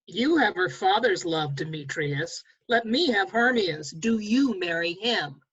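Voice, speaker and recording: Woman playing an animated, defiant young suitor. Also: mid-range voice, measured pace, breathy edge, no echo, highs cut off, full character voice. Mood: mocking. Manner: animated, assertive. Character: defiant, proud young male lover. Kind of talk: Shakespearean verse table read. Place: remote video call reading. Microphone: video call.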